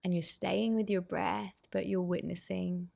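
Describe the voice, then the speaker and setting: A woman guiding a meditation as a teacher, giving soothing, unhurried instructions with pauses. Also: fairly low pitch, fairly even intonation, gravelly, warm tone. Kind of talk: guided meditation.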